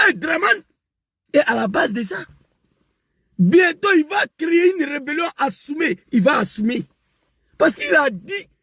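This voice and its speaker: Man, gravelly, dry close sound, fiery political rant. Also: very high-pitched, dry recording. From West Africa. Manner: emphatic, repetitive, rising to shouts. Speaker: political commentator.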